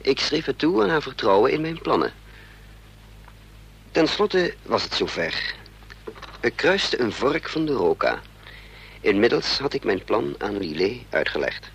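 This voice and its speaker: A man's voice: medium pitch, big pitch swings, unhurried, gravelly, long pauses, a bit bright, slightly rough, full character voice. An actor playing an anxious, scheming adventurer in a radio play, muttering and hesitating as he thinks aloud. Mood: reflective narration. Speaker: protagonist and narrator of a radio play. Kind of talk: Dutch radio play acting. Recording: noisy old radio play recording, vehicle drone.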